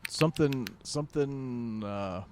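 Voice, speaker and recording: A man's voice: mid-range voice, slow, background noise. A man co-hosting a podcast in casual chat, thinking aloud in a laid-back, hesitant way. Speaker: podcast co-host.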